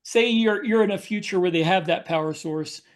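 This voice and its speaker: A man talking casually as a podcast panelist, with a halting, rambling delivery. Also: high voice, noisy background.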